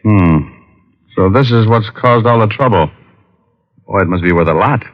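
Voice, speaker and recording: Low-voiced hard-boiled radio detective played by a man. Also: normal speed, lightly acted. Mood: weary, thoughtful. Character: wry, tough male private detective. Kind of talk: 1940s radio acting, hard-boiled narration. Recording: dull old radio recording with music.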